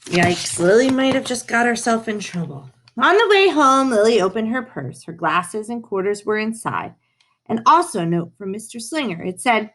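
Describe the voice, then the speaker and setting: Lady reading a picture book to kids, really expressive, adds little comments as she goes. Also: medium pitch. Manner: animated, emphatic, pausing to comment and ask questions. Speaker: storybook reader. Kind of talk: expressive children's read-aloud with asides to listeners.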